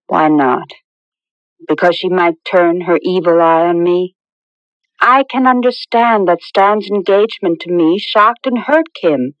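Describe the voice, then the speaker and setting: Confident young bride-to-be, played by an actress, low-voiced. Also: female voice, a little slow, dry recording, natural voice. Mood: challenging. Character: confident, outspoken young bride-to-be, brisk and skeptical. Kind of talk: 1980s radio drama acting. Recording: muffled old radio broadcast.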